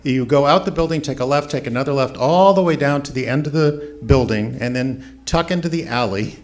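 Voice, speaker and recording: A man's voice: mid-range voice, brisk. Man hosting a live show, noisy recording. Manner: jokey, informal showman's announcing. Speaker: host and founder of a radio theater. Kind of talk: live stage welcome and cast introductions. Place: on stage in a theater before an audience.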